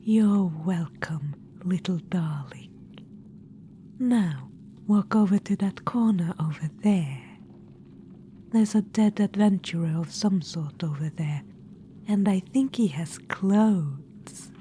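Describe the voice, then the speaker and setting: Undead witch-queen, haughty and cruel yet doting, very dark hushed whisper, faint ambience behind ; a woman performs her. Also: lowish voice, slow pace, a little hiss or hum. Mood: doting menace. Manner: whispered, purring, calls listener darling; haughty and gleefully macabre. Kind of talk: whispered ASMR audio roleplay.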